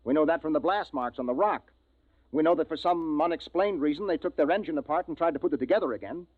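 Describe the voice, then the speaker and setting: An actor playing a strained, authoritative spaceship captain in a 1950s radio science fiction drama. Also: male voice, fairly high pitch, no room sound, natural voice. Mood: firm, explaining. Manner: brisk, authoritative, clipped orders. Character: commanding, strained male spaceship captain, also narrating. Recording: tinny vintage radio recording with music.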